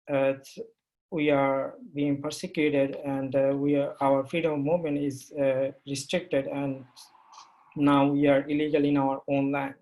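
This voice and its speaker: Flat-voiced man, halting second-language speech. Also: on the high side, rough recording. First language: Rohingya. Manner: halting, many restarts and fillers. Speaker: panel guest. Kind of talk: panel discussion.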